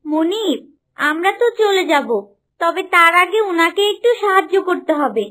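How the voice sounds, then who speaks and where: Very high-voiced little talking horse voiced by a woman. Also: lively intonation, moderate pace, pushed and bright, exaggerated voice. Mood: helpful, earnest. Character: small loyal talking horse, anxious and helpful. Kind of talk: cartoon story dubbing.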